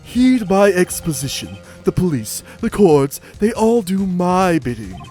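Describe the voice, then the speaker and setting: A man's voice: sits high, very animated, a little slow, a little mellow, faint music behind. A man voicing a smug, entitled corporate villain for a video game, pompous and theatrical with flowery made-up words. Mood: menacing, sneering. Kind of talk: video game character voice-over.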